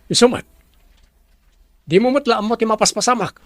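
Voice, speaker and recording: An actor with a Filipino accent playing an arrogant, scheming rich villain boss in a radio drama, domineering and snapping. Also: male voice, high voice, moderate pace, no echo, lightly acted. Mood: curt, threatening.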